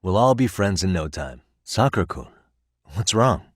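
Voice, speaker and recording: A cool, principled investigator from a video game. The voice is very low-pitched and recorded dry and close, with no room sound. The delivery is casual and earnest, with an easygoing confidence. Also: male voice, sing-song, moderate pace, husky, no echo, full character voice. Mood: friendly, casual. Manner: casual, self-assured, earnest. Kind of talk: English video game voice acting.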